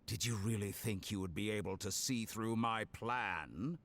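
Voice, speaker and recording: An actor playing a suave, sadistic scientist villain in a video game, with a deliberate, mockingly polite delivery. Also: male voice, on the low side, fairly bright tone, slight background noise. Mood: smug, menacing. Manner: slow, deliberate, mockingly courteous.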